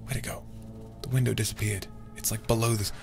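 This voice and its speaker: A man streaming a horror game in a soft, intimate ASMR style close to the microphone, muttering and sometimes whispering. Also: lowish voice, flat delivery, a little fast, gravelly, noisy background. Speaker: livestreamer playing a horror game.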